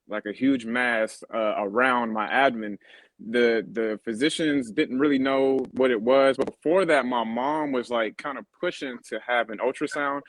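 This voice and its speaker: A man in his thirties, a guest in a casual interview, speaking haltingly with many fillers and restarts. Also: medium pitch, no echo, low-quality recording. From born in Germany on a US military base. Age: 30s.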